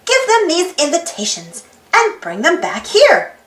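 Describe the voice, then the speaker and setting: A woman's voice: extremely high pitch, wildly swooping pitch, a little slow, fairly bright tone, full character voice. A woman voicing a gleeful, boastful female mad scientist in a children's storybook, theatrical and scheming. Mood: grand, scheming. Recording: roomy echo with music behind.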